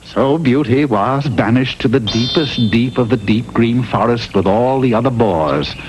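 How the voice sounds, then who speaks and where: A man's voice: mid-range voice, a little slow, close to an everyday voice. A man playing a droll, theatrical storyteller narrating a comic fairy tale for a classic cartoon. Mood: droll storytelling. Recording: noisy old TV cartoon recording.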